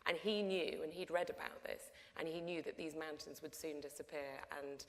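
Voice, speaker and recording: A middle-aged woman tells a story on a panel, chatty and full of restarts. Her voice sits a little low. A long hall reverb trails each phrase. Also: moderate pace. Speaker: panel guest, documentary producer. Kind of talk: panel discussion anecdote. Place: lecture hall before an audience.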